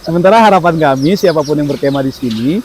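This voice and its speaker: A man's voice: fairly high pitch, darkish tone, slight background noise. Joking man in his thirties. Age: 30s. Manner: friendly, joking, quick to agree. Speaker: campsite host. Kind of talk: casual hosted chat. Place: outdoor riverside campsite.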